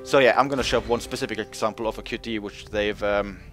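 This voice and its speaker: A man's voice: on the low side, steady tone, fast, fairly bright tone, soft background music. A man giving casual commentary over game footage, talking in a chatty way with lots of fillers and voice jumps when joking. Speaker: gaming video host.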